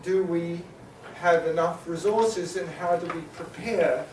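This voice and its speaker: Halting male conference facilitator in an echoey hall. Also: a little high, steady tone, a little hiss or hum. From New Zealand. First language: Maori. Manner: halting, many filler sounds and restarts, summarizing. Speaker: panel facilitator. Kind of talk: conference panel moderation. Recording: echoey hall, mic at a distance.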